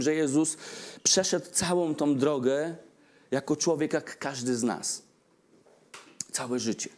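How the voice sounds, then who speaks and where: A man in his forties giving a Christmas sermon at a lectern mic in a church hall, gesturing and putting questions to the congregation. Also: a little high. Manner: animated, asks the congregation questions, gestures broadly. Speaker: preacher. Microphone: stand mic at lectern.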